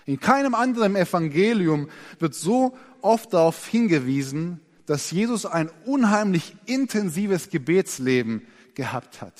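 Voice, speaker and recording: Man, preacher, sermon, earnest, expressive, slightly high voice, long hall reverb. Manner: earnest, expressive, slows down and drops soft for emphasis.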